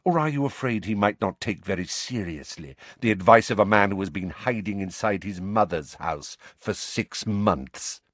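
A man reading an audiobook and voicing a cold, sneering male wizard teacher who speaks silkily and softly. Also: fairly low pitch, animated, normal speed, hardly any pauses, no room sound. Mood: soft menace. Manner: silky, sneering, soft-spoken.

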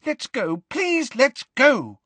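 A man voicing an anxious, earnest teenage schoolgirl with a high, breathy voice in a story reading. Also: very animated, takes it slowly, pushed and bright, dry recording, heavily acted. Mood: terrified.

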